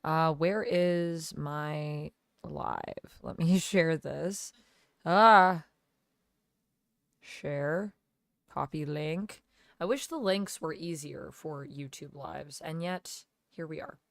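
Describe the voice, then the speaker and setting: A woman streamer under the weather with a cold, talking casually with a gravelly, smoky voice as she chats with viewers and thanks them by name. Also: low voice, extremely slow, dry recording, studio quality. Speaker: live streamer. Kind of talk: casual live-stream chat, reading viewer comments. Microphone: gaming headset.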